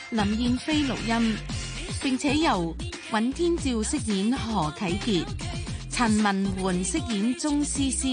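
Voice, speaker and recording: A woman announces radio drama credits in Hong Kong Cantonese. She speaks slowly in a fairly high voice over clear music.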